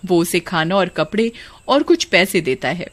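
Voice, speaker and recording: A woman's voice: fairly low pitch, expressive, moderate pace. A woman narrating a scripted folk tale as a storyteller.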